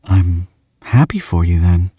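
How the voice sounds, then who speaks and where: A man voicing a calm, alluring female demon who speaks softly and formally. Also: low-pitched, breathy edge, no echo, clean studio sound, full character voice. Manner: soft, measured, formal speech without contractions.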